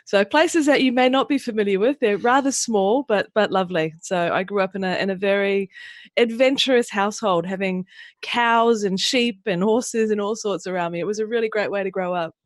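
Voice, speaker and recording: A woman's voice: medium pitch, normal speed, no echo, clean studio sound. A woman in her fifties from New Zealand, a guest on a radio interview, talking thoughtfully and earnestly with restarts. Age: 50s.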